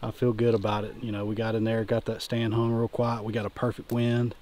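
A man's voice: on the low side, monotone, a little fast, darkish tone. A man in his thirties hosting a casual hunting vlog, talking in a folksy way with hunting slang. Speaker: hunting show host. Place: outdoors in open country, and in a vehicle cab. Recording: noisy outdoor and vehicle ambience.

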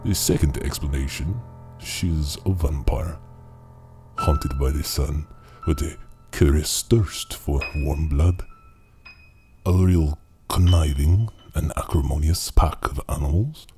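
An actor playing a superior, cryptic occult believer who speaks in grandiose, formal pronouncements in an audio drama. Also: male voice, very deep voice, takes it slowly, over music. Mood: ominous.

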